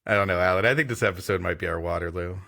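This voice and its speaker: Bright-voiced man reading and joking. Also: sits low, racing, creaky. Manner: reads narration then jokes, laughs often. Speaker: co-host and story reader. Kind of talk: comedy podcast reading with banter.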